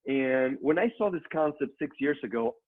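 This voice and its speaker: A man's voice: medium pitch, animated, normal speed. Mexican-accented man, tinny call audio. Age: middle-aged. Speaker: online training speaker. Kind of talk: motivational business presentation. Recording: narrow phone-like online call audio.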